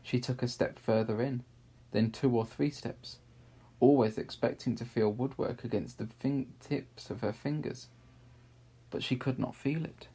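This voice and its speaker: A man narrating a children's story aloud in a measured, expressive way with deliberate pauses. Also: medium pitch, husky.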